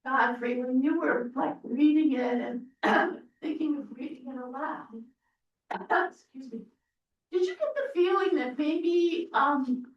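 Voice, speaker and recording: A woman's voice: high-pitched, lively intonation, slow. A woman moderating a panel, asking searching questions. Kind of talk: panel discussion. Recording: dull, roomy, far from mic.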